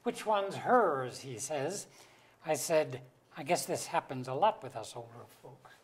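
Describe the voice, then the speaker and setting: A woman's voice: very deep voice, expressive, unhurried. A woman playing a droll, grumbling husband nearing sixty in a staged play reading, telling wry anecdotes.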